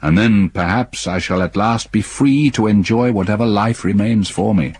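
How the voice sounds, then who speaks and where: An actor playing an older, haunted man who quietly apologizes and then broods over a ghost story he must tell. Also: fairly low pitch, normal speed, husky. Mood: brooding. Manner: subdued, apologetic, weary. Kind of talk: radio drama acting and narration.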